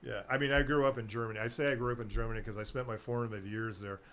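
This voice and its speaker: A man's voice: mid-range voice, steady tone, racing, a bit bright. A middle-aged man chatting casually as a guest on a local talk show, rambling and meandering, laughing easily. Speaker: guest, herbal nutrition seller.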